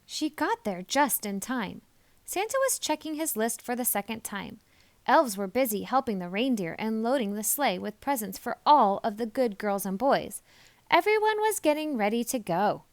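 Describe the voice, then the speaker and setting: A woman reads a children's storybook aloud in a high voice. Her pitch rises and falls widely, and she puts deliberate, expressive emphasis on key words. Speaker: storybook reader. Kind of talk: animated children's story narration.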